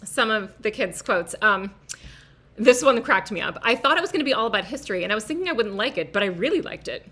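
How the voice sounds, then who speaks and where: A woman's voice: mid-range voice, animated, fast, fairly bright tone. A woman speaking casually at an online event as a guest educator, earnest, emphatic and gushing.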